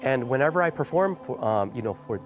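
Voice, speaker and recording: A man's voice: medium pitch, lively intonation, fairly quick, faint music behind, rough recording. A man from the Philippines, Tagalog his first language, chatting as a guest singer in an interview, with many fillers and restarts. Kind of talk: casual interview chat.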